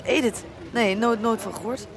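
A woman's voice: fairly high pitch, normal speed, a crowd in the background, close to an everyday voice. Actress playing nervous young woman, evasive n stammery, radio play, lots of people noise behind. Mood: hesitant, evasive. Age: young adult. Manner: hesitant, stammering. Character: evasive, nervous young girlfriend of a stubborn man. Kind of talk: naturalistic radio drama dialogue. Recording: crowded background, street noise.

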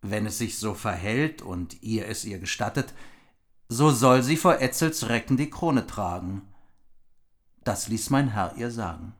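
An actor playing a noble, loyal knightly envoy in a radio drama, speaking formally and earnestly. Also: male voice, fairly low pitch, animated, measured pace. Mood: solemn, grave. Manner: formal, solemn.

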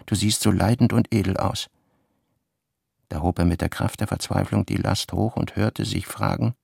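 An actor playing a brooding narrator in a literary radio play, reading dramatically with a gravelly voice. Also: male voice, low voice, fairly even intonation, normal speed, darkish tone, dry recording, studio quality, heavily acted. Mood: brooding, tormented.

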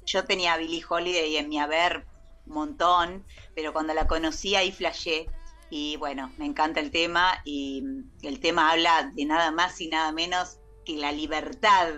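A woman's voice: sits low, steady tone, normal speed, bright, forceful tone, faint music behind. A young woman with a Rioplatense Spanish accent, an actress guest joining by call, enthusiastic and slangy. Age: young adult. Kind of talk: interview over a call app. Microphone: remote call.

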